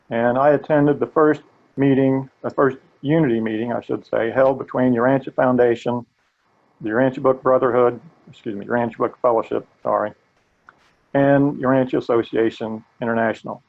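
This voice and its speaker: An older man with a gravelly voice, earnestly presenting a live training webinar. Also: medium pitch, normal speed, phone-quality sound. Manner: earnest, steady, occasional restarts. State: gravelly voice today.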